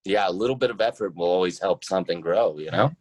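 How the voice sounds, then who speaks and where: A man's voice: low voice, quick pace. A man speaking as an interview guest, casual and reflective, hesitantly thinking his answers through aloud. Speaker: podcast guest, show creator.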